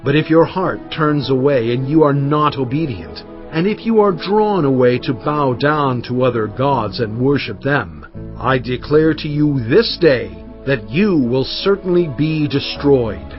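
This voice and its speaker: A man's voice: medium pitch, expressive, a little slow, natural voice. An actor playing an aged prophet and leader, solemn and fervent, addressing his people in a dramatized scripture reading. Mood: solemn urging. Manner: solemn, emphatic oratory. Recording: muffled audio with music underneath.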